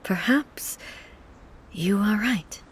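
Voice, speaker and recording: Composed, dignified ruler. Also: female voice, mid-range voice, drawn-out words, background noise. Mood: reflective. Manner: formal, composed. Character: composed, dignified female ruler, courteous and measured. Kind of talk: video game voice acting.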